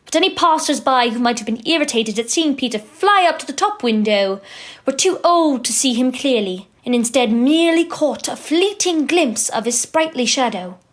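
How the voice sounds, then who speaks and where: A woman playing the narrator of a children's storybook tale in an audio drama, with a measured storytelling manner. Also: high-pitched, lively intonation.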